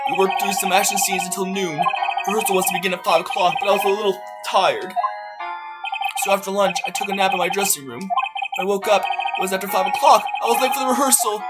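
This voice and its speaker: A meek young actor explains himself gently and hesitantly, voiced by a man. His delivery stays soft and polite. Loud game music plays behind. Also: high voice, natural voice.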